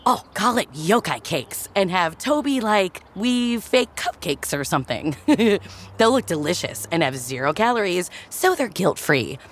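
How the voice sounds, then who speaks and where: A woman's voice: fairly low pitch, sing-song, measured pace, a little hiss or hum. A woman voicing an upbeat, teasing friend in a motion comic, with a breezy, casual way of talking. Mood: playful, encouraging. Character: upbeat, teasing female friend with business schemes.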